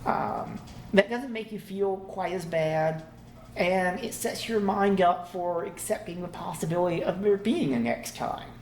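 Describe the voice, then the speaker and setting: Relaxed man presenting in an echoey room. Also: high-pitched, unhurried. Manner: relaxed, conversational, laughs easily. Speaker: conference presenter. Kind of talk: conference talk to a live audience. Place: conference room. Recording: echoey room, faint background hum.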